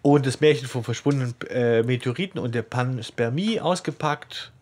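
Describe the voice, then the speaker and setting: Man with halting, conversational delivery. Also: medium pitch, darkish tone. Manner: halting, rephrasing as he goes. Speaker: podcast host. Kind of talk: casual discussion retelling a story.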